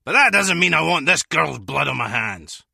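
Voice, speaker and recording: An actor playing a gruff, principled criminal henchman with a rough, gravelly voice in a video game. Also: on the high side, sing-song, moderate pace, bright, forceful tone, no echo, studio quality, heavily acted. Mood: grim, frank.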